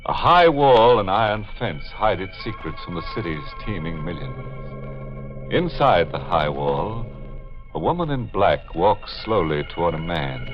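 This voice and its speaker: A man with a low, swooping voice plays sardonic host on a 1940s horror radio show. He is theatrical and mock-sinister, with organ music behind him on a muffled old recording. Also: sing-song, a little slow.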